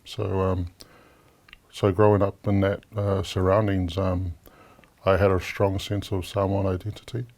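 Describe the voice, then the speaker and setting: A man with a New Zealand accent, relaxed and a little hesitant, asking questions and sharing his background in a studio chat. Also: low-pitched, a little slow. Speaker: guest co-host asking questions. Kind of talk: casual interview chat.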